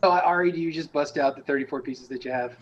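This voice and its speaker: A man narrating a tabletop roleplaying game as game master, improvising in a halting, conversational way. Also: fairly high pitch, lo-fi sound.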